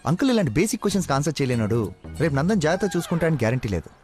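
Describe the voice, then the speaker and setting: A suspicious, scornful young policeman in a film comedy. He fires words quickly with lively intonation over clear music. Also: fairly high pitch. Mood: scornful, grilling. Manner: interrogating, scornful. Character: haughty, suspicious young policeman grilling his rival.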